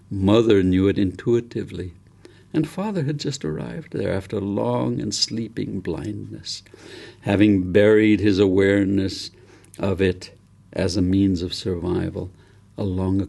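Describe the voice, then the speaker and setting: Male storyteller, husky and creaky voice, solemn delivery, deliberate pauses, literary audiobook reading. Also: fairly low pitch, dark tone. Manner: solemn, reverent, deliberate phrasing with dramatic pauses. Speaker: author reading own novel aloud.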